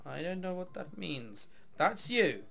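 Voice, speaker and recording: A man's voice: sits high, sing-song, a little slow, gravelly, fairly bright tone, soft background music. A man reading a visual novel aloud, mixing dramatic narration with casual, offhand commentary. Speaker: video game let's player.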